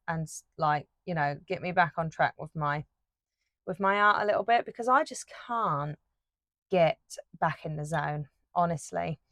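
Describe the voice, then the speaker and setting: A woman chats away while painting, looping back with fillers and restarts. Her voice sits a touch low and sounds a bit croaky. The sound is dry and close, recorded on a phone. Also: a bit bright, no room sound. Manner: rambling, chatty, many fillers and restarts. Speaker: art YouTuber. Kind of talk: casual chat while painting.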